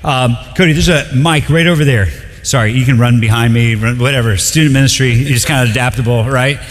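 A man from Colombia preaching to a congregation, joking and animated as he leads into the text. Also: mid-range voice, fairly quick. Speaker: pastor. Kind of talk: sermon. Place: church sanctuary. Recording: long hall reverb.

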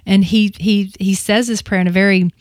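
A woman's voice: medium pitch, steady tone, normal speed, no room sound, studio quality. A woman in her fifties, a radio host talking casually and confessionally on air, with many restarts. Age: 50s.

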